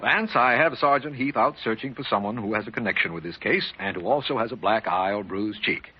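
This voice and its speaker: An actor playing a brisk, businesslike district attorney in an old-time radio drama, speaking in a clipped, official, emphatic way. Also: male voice, fairly low pitch, background noise, close to an everyday voice. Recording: dull, lo-fi vintage radio recording.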